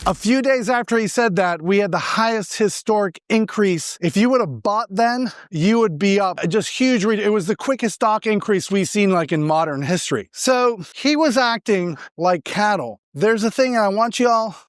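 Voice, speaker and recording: A man's voice: high voice, animated, moderate pace, hardly any pauses, no room sound, studio quality. A man from Argentina speaking as a real estate agent, explaining things informally and emphatically, posing questions and answering them himself. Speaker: real estate agent giving market advice. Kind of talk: informal explanatory monologue to camera.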